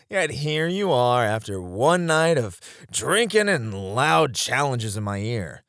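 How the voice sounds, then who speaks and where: Casting: male actor for a confident knight and playful sparring partner in an ASMR roleplay, animated pitch swings, close dry recording. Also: dry recording. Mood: teasing. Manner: playful teasing with frequent chuckles. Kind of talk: ASMR romance audio roleplay, one-sided dialogue.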